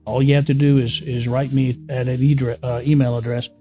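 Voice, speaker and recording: A man's voice: medium pitch, level tone, moderate pace, dark tone, faint music behind. A man giving a casual spiritual teaching, often repeating himself, chuckling and asking the listener rhetorical questions. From born in the US Northeast, raised in California. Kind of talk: unscripted spiritual teaching to camera.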